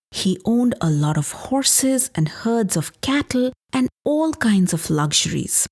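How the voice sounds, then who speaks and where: A woman podcast host telling folktales, expressive and deliberate, drawing out words for emphasis. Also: medium pitch, slightly rough. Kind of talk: expressive folktale storytelling narration.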